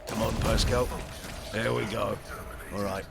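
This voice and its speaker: A man's voice: on the low side, little pitch movement, a little slow, gravelly, very noisy. A man doing casual commentary as he plays a video game, muttering to himself. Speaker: gamer, playthrough host.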